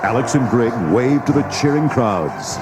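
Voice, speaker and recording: A man's voice: medium pitch, expressive, a little slow. A man giving scripted, dramatic narration for a storybook read-along. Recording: loud music and effects behind.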